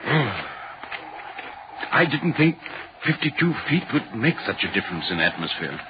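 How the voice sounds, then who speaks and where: Sympathetic, probing gentleman, played by a man, very expressive mid-pitched male voice, 1940s radio drama style, slightly noisy vintage sound. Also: moderate pace, lo-fi sound, lightly acted. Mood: puzzled, polite. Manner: polite, inquisitive, expressive. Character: curious, sympathetic gentleman visitor, politely probing.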